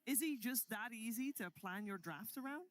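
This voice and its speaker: Higher-voiced woman hosting an esports desk. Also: animated. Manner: leading questions, drawn-out emphatic phrasing. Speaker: esports broadcast host. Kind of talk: live esports analysis desk.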